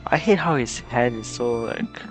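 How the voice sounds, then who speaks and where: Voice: medium pitch, unhurried. A streamer with a sore throat, sounding sick, giving informal, giggly gameplay commentary. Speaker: streamer recording a gameplay video. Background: game music and dialogue.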